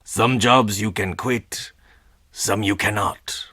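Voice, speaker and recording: A menacing, world-weary game character, speaks slowly in a low, husky voice. He is terse and deadpan, with an ominous edge. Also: no room sound, clean studio sound, heavily acted. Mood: cold, guarded. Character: menacing, world-weary male stagehand guarding a theatrical underworld. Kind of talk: video game character dialogue.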